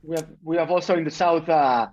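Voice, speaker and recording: A man in his forties from Barcelona with a Catalan accent, chatting casually as a guest with halting restarts and repeated words. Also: a little high, a little hiss or hum. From Barcelona, Spain. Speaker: podcast guest. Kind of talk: casual chat. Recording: muffled, dull lo-fi sound.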